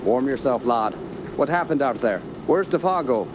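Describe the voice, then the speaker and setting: Radio play doctor, male actor: older search leader, steady authority, medium pitch, outdoor sounds behind him. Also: natural voice. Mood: gently probing. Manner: brisk, authoritative, fatherly. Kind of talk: radio drama acting. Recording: outdoor ambience noise behind voice.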